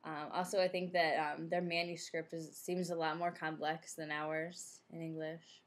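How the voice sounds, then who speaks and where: Flat-voiced young American woman. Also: low-pitched, normal speed, slight background noise. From United States. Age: young adult. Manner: chatty, with frequent "like" and "um" fillers. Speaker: interviewee, former exchange student. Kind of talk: casual interview answers.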